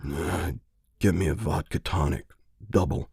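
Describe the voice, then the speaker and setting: A man's voice: low voice, unhurried, gravelly, warm tone, breathy edge, no echo, studio quality, pitched below the performer's own voice, exaggerated voice. Man playing a muted, phlegmy, weary hitman. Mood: gruff, muted. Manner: muted, defeated muttering. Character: weary, jittery, guilt-ridden male hitman and drinker. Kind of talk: scripted short-story character voice.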